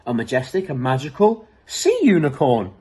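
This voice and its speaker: A man reads a children's story with dramatic emphasis. His voice is mid-pitched and husky, and his intonation swings in a lively, animated way. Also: normal speed. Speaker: storybook reader. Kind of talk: expressive children's story reading.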